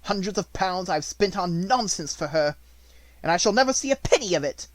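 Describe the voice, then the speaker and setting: Reader doing a harsh school headmistress, sharp commands, dry. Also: mid-range voice, very animated, unhurried, no echo, pitched above the performer's own voice. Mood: fierce. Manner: clipped, commanding, scornful. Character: stern, cold headmistress of a girls' school.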